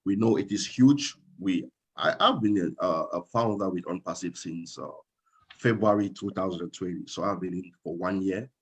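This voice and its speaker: A man's voice: sits low, moderate pace, breathy edge. A young man from Cameroon speaking as a guest in a casual interview, hesitant, with many fillers and restarts. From Cameroon, Northwest. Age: young adult.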